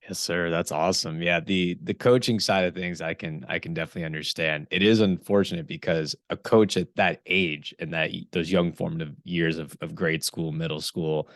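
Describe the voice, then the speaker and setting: A man's voice: sits low, fairly even intonation, moderate pace, few pauses, no echo, clean studio sound. A man hosting a casual podcast interview, friendly and affirming, often agreeing and laughing with his guest. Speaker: podcast host.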